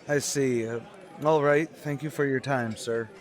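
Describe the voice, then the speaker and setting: A man acting in an old-time radio drama as a desperate, earnest father looking for work, speaking in a halting, pleading way. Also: mid-range voice, steady tone, natural voice. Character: desperate, earnest, down-on-his-luck young father seeking work.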